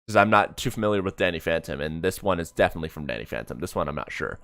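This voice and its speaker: A man's voice: low voice, expressive, very fast, fairly bright tone, no echo, studio quality. A man giving casual reaction commentary as a streamer, rambling and often correcting himself mid-thought. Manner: rambling, trailing self-corrections. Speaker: streamer reviewing an animated comic.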